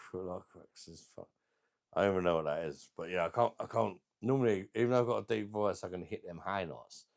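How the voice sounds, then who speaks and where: A middle-aged man with a deep voice and a sore throat, chatting casually as he hosts a beer channel livestream and reads out viewer comments in a rambling, laid-back way. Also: brisk, gravelly, a little mellow. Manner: rambling, laid-back, chuckling between remarks. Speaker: beer review channel host.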